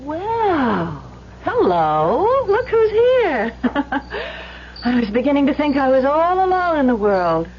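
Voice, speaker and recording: A dull old radio recording with some noise carries a skeptical young woman. Her delivery is expressive and coaxing, swinging widely in pitch, in classic radio suspense style. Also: slow pace, full character voice. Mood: playful, teasing. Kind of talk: old-time radio drama acting.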